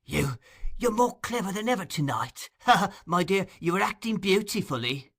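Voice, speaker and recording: A man's voice: sits high, expressive, moderate pace, gravelly, fairly bright tone, pitched above the performer's own voice, heavily acted. A man voicing a sly, cowardly old gang master in a storybook, with a wheedling, placating manner. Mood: mock soothing.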